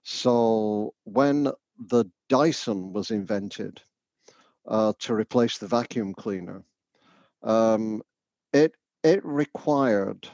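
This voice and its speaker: A man's voice: medium pitch, darkish tone, no room sound, muffled recording. A man from the north of England with a Northern English accent, answering interview questions thoughtfully with frequent fillers and restarts. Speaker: education researcher.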